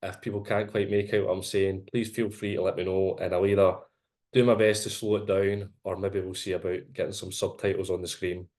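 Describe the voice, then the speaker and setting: A man from Glasgow with a strong Glaswegian accent walks through his research findings. His voice sits fairly low and he explains each point in a measured, steady way. It sounds like a video call. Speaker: presenting researcher. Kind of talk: academic seminar presentation.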